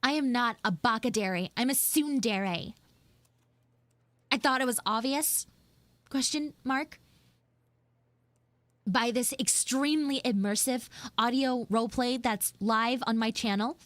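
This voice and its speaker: Tsundere schoolgirl for anime-style audio roleplay, played by a woman: high voice, pouty, defensive, halting, close dry sound. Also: fairly bright tone, no room sound. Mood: indignant. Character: flustered, defensive tsundere anime schoolgirl, mean but secretly soft. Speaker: livestreamer and VTuber voice actress. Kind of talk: improvised live audio roleplay with chat asides.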